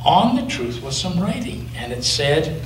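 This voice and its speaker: A male pastor reading a picture book aloud to children, gently and with slow dramatic pauses. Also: on the high side, big pitch swings. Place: church sanctuary. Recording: echoey church from a distance, faint noise.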